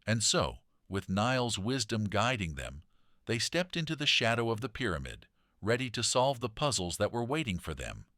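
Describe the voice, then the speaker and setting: A man reading a children's story aloud in an expressive, dramatic storytelling manner. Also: lowish voice, normal speed, dry recording, studio quality. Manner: dramatic, animated delivery.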